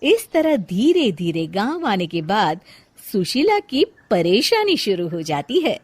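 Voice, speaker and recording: A woman narrating a scripted cartoon moral story in an expressive storyteller's style. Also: mid-range voice, slow, slightly rough, no echo.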